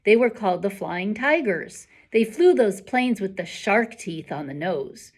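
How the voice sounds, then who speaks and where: Woman reading as a serious, measured school boy. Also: medium pitch, animated, husky. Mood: matter-of-fact. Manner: measured, matter-of-fact. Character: quiet, observant, serious bookish school boy.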